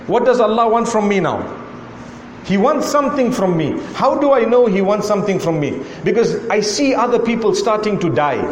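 A man's voice: sits high, echoey room, long reverb tail, a little hiss or hum. A man from Zimbabwe with a Zimbabwean accent, preaching a sermon to a live audience and pressing one rhetorical question after another. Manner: rhetorical questioning, repeating "why" and "how come".

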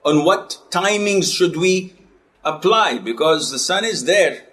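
Animated high-voiced male religious teacher. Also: slow. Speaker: Islamic teacher. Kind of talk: lively classroom lecture.

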